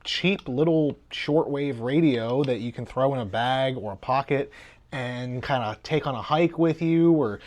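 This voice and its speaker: A man's voice: medium pitch, unhurried, darkish tone. A man giving a casual hands-on review as host of a video.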